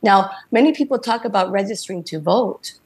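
A woman's voice: mid-range voice, lively intonation, brisk, darkish tone, slightly rough. A woman speaking as a radio panel guest, explaining her points earnestly.

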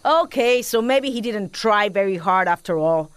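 An actress playing a wry, judging narrator in a radio soap opera, commenting conversationally on the story. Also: female voice, medium pitch, expressive, normal speed, clean tone, no echo, close to an everyday voice.

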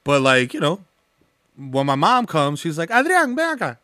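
Bronx man, New York accent, Spanish first language, chatty interview guest, a bit high voice, dry close sound. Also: moderate pace, no room sound. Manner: animated, laughing, slangy, many fillers. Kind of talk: casual conversational interview.

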